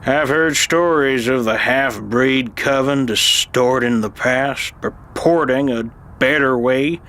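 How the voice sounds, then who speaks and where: A man's voice: medium pitch, slow pace, fairly bright tone. A man playing a cranky, tired old alien uncle, a sardonic, grumbling chief historian in an audio drama.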